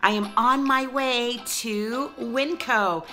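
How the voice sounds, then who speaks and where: A woman vlogger chatting casually to her viewers, hoarse from a cold and losing her voice. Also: on the high side, fairly even intonation, slow, bright, forceful tone, soft background music. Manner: chatty, talks straight to viewers with asides. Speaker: vlogger showing a grocery haul. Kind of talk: casual chatty vlog talk.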